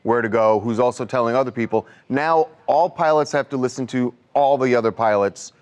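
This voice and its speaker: A man's voice: mid-range voice, fairly quick, darkish tone. A man co-hosting a conversational news talk show. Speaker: co-host.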